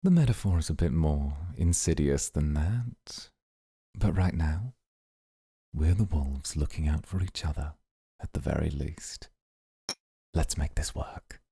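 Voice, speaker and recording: A man playing a suave, calculating mafia boss in a flirty ASMR roleplay, with teasing, deliberate phrasing. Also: warm tone, no room sound, clean studio sound, heavily acted. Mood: calculating, composed. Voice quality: very deep, gravelly, husky.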